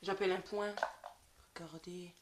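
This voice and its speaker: A woman's voice: on the low side, fairly even intonation, a little slow. Young woman bantering in an echoey room. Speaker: prank call co-host. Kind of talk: casual banter. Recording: echoey room with clicks and noise.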